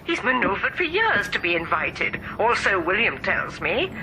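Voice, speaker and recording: A woman's voice: medium pitch, very animated, measured pace, pushed and bright, full character voice. An actress playing a flustered, fussy society lady on the telephone in a radio drama, with an upper-class English accent. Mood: exasperated. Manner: fussy, effusive. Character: flustered grand society lady, socially anxious. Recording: noisy telephone call at reception.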